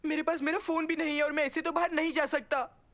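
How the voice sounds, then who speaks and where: A woman voicing an anxious young boy in an animated cartoon, fretful and repeating words. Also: high-pitched, fast. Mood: panicked, fretful. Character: anxious, superstitious young boy stage actor.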